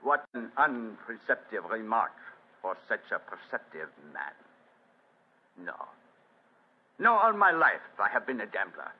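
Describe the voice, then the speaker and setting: A suave gambler of fatalistic pride in a vintage radio play. His voice is husky and moves expressively up and down, with formal, measured phrasing. The sound is muffled and old. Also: lowish voice, big pitch swings, heavily acted. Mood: proud resignation. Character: suave French casino manager, proud, fatalistic gambler. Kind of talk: 1940s radio acting.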